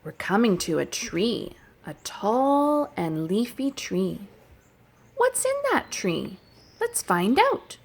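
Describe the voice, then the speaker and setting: A woman speaks to very young children as a childcare educator. Her delivery is extremely slow and sing-song, with animated pitch and a creaky edge. Phrases are simple and clearly aimed at toddlers. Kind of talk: sing-song picture book storytelling and play for toddlers.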